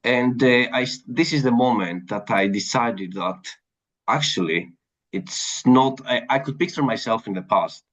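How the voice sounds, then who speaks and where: A man's voice: mid-range voice, a little slow, fairly bright tone. A man from Greece with a Greek accent, speaking earnestly as an interview guest about his career. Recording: dull lo-fi sound.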